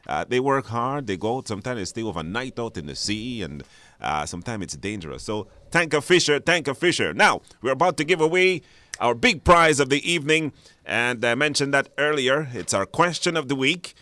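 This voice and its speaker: A man's voice: medium pitch, expressive, brisk, bright, forceful tone, dry recording. A man hosting a live radio show in a conversational way, repeating phrases for emphasis.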